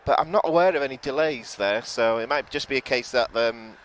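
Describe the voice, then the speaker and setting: A man with a British accent giving relaxed live commentary outdoors at an airport, chatting to viewers with frequent ums and self-corrections. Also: medium pitch, brisk, slight background noise, low-quality recording. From United Kingdom. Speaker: live plane-spotting commentator. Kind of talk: live-stream commentary, reading out viewer chat.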